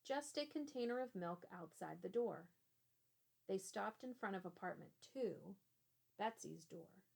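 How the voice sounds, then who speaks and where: A woman teacher reading a children's storybook aloud in a deliberate, expressive way. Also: medium pitch, gravelly.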